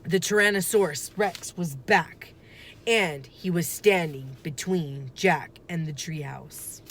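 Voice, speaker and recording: A woman reading a children's story aloud like a teacher, with deliberate, dramatic phrasing and emphasis. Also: sits low, a bit bright, a little hiss or hum.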